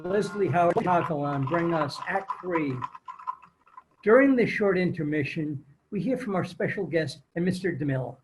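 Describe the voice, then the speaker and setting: A man's voice: on the high side, lively intonation, normal speed, soft background music, lightly acted, poor audio. A man playing a courteous, formal old-time radio host in an amateur table read. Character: formal male 1930s radio host and announcer.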